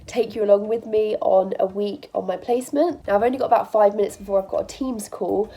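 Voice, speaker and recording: A woman in her twenties, a medical student, chatting casually to camera in an upbeat, quick way, with a stuffy nose from a cold. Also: mid-range voice, fairly even intonation, mellow. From England. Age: 20s. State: bunged up with a cold. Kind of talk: casual vlog talk.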